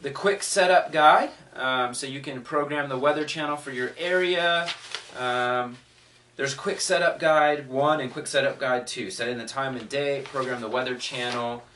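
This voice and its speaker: Male product reviewer, middle-pitched, casual and conversational, recorded at a distance with faint noise. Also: moderate pace, sharp tone. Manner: thinking aloud, conversational.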